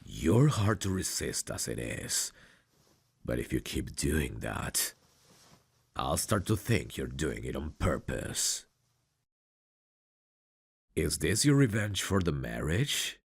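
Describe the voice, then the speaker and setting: A man acting a courteous, tender mafia bridegroom in an intimate ASMR romance roleplay, soft-spoken and flirtatious with a husky, gravelly voice. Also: a bass voice, sing-song, slow, long pauses, dry recording, exaggerated voice. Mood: seductive, teasing.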